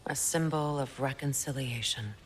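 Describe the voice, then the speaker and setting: A woman playing a sorrowful, regal middle-aged goddess and mother in a video game, speaking in a measured, earnest, wistful way. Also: rumbling low, slight background noise, poor audio. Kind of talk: cinematic video game acting.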